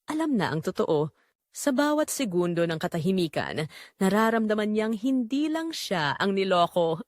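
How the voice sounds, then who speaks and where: A woman with a Filipino accent narrates a scripted story dramatically, in measured, suspenseful short phrases. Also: medium pitch, no echo, clean studio sound.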